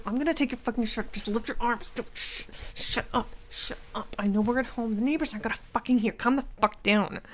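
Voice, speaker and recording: A woman's voice: on the high side, lightly acted. A woman playing a bossy scolding girlfriend. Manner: scolding, mocking, swings between soft whispers and loud outbursts; frequent laughter while talking. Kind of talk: audio roleplay acting.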